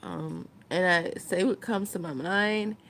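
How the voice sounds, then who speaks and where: A woman's voice: fairly low pitch, slow, fairly bright tone. A woman chatting casually to her viewers as a vlogger, thinking aloud in a rambling way.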